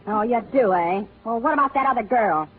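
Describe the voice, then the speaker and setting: A woman's voice: fairly high pitch, lively intonation, fairly quick, slight background noise, natural voice. A woman playing a jealous, hot-tempered gun-toting girl. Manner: brash and wisecracking. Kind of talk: 1940s radio comedy acting. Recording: tinny old radio broadcast.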